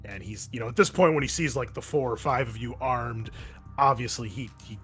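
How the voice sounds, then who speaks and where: A man's voice: mid-range voice, brisk, a bit bright. A man narrating a tabletop roleplaying game as game master, conversational and explanatory. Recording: music playing behind the voice. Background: background music.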